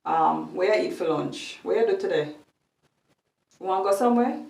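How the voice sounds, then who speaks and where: Jamaican lady vlogging at home, low gravelly voice, kinda far from the mic in an echoey room. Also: lively intonation. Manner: slow, warm-hearted, thinks aloud with many ums. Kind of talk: casual vlog chat.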